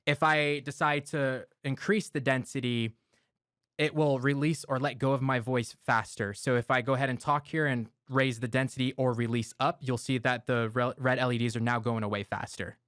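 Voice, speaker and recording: A man's voice: medium pitch, normal speed, clean tone, no room sound. Man explaining audio gear, dry close mic. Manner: relaxed, step-by-step explaining with filler words. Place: home studio. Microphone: close broadcast dynamic mic.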